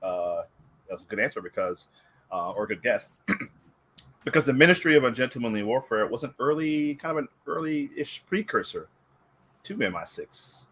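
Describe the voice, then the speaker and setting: A man's voice: mid-range voice, expressive, normal speed, fairly bright tone, breathy edge. A man chatting casually as a panelist on a movie talk show, speaking in an enthusiastic, digressive way. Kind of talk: casual rambling chat.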